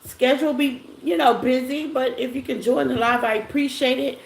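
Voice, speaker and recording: A woman's voice: high voice, fairly even intonation, normal speed, creaky. Scratchy-voiced woman chatting on a livestream. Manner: greets chatters by name, loose and chatty. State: voice rough after a silent morning. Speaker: tarot reader hosting a live stream. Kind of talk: casual livestream chat with viewers. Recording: echoey room with faint noise.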